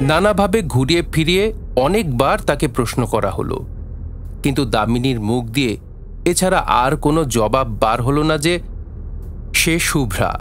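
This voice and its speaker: A man's voice: medium pitch, lively intonation, moderate pace, long pauses, a little hiss or hum. A man narrating a scripted dramatic story as the narrator of an audio play. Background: faint music.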